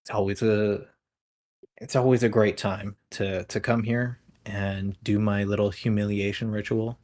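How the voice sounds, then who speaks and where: A man's voice: lowish voice, little pitch movement, a little slow, darkish tone. A man with a slight lisp, chatting casually as a guest on a live stream, his voice worn from a long day of talking. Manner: self-deprecating, swears casually.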